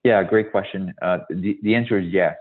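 A man's voice: sits low, normal speed. A man from Hungary presenting on a webinar call, speaking emphatically and often stressing that things are absolutely critical. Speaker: guest presenter, tech company executive. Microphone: video call. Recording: tinny phone-like call audio.

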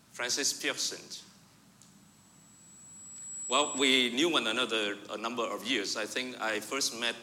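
A male pastor, preaching and telling a personal story, rising loud for emphasis and dropping to quiet asides. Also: medium pitch, slight background noise. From Vietnam. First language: Vietnamese. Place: church sanctuary. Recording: reverberant church hall.

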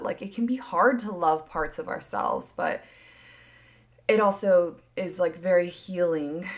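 A woman in her thirties chatting casually and candidly as a podcast host, swinging between emphatic bursts and hushed asides, with lots of filler words. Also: lowish voice. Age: 30s. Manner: frequent filler "like", candid and swearing. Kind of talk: casual opinion chat.

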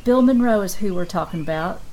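A woman's voice: mid-range voice, animated, a little fast, warm tone, rough recording. Encouraging woman interviewer over background noise. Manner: encouraging, affirming. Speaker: interviewer, host. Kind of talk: conversational interview. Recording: clear background ambient noise.

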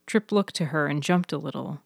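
Low-voiced woman narrating fiction. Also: normal speed, gravelly, no echo, studio quality. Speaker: author and narrator.

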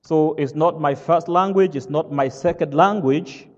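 A man from Kenya with a Kenyan accent, whose first language is not English, preaching a sermon as pastor. He speaks slowly and haltingly, fills pauses with "uh" and keeps asking "all right?". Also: fairly high pitch, long reverb tail, highs cut off. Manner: slow, halting with many "uh" fillers, checks "all right?".

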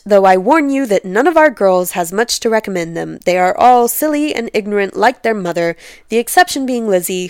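Lady doing a gruff middle-aged husband from a storybook, pompous, dry wit, close dead-room sound. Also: medium pitch, no room sound, natural voice. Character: gruff, sardonic middle-aged gentleman husband, dismissive and martial. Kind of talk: comic storybook reading.

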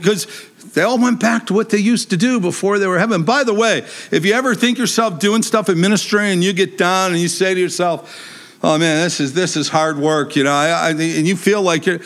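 A man with a high-pitched, big voice preaching a sermon. He talks in an animated, conversational way and jokes with his listeners. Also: moderate pace.

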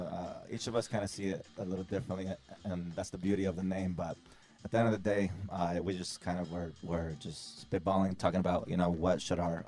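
A man with a subtle Mexican accent, a rapper chatting as a guest on a podcast in a relaxed, rambling way. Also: low-pitched. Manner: relaxed, rambling, laughs often. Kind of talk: casual group chat.

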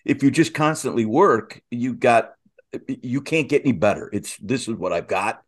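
A man co-hosting a conversational interview, speaking haltingly and repeating himself as he builds up to a question. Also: mid-range voice. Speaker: podcast co-host.

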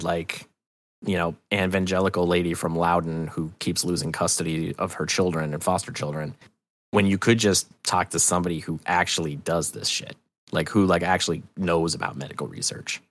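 A low-pitched American man speaks casually on a podcast with a close, dry sound. He is opinionated and emphatic, tells things as anecdotes and swears freely. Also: dry recording. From New Hampshire, United States. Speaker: podcast guest. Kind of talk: casual, opinionated interview chat.

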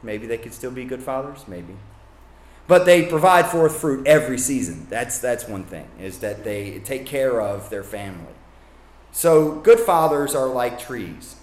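A man's voice: mid-range voice, lively intonation, measured pace, reverberant. A man from Kentucky preaching a sermon in a casual, conversational way, with asides and self-corrections.

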